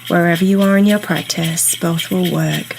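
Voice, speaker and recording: A woman guiding a meditation in a soothing, unhurried, instructional way, leaving pauses between phrases. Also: fairly low pitch, steady tone, creaky, background noise. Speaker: meditation guide. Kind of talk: guided meditation narration. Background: soft ambient meditation music.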